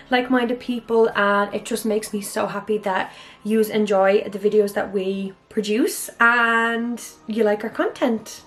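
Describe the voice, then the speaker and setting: A woman hosting her own channel, chatting her way through a mystery topic, with a slightly hoarse, raspy voice and an enthusiastic manner. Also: fairly high pitch. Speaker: YouTube channel host. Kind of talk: casual monologue on a mystery topic.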